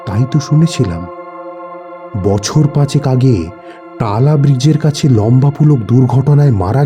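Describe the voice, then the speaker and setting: A man narrating a suspenseful horror story in a dramatic, deliberate manner. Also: mid-range voice, warm tone.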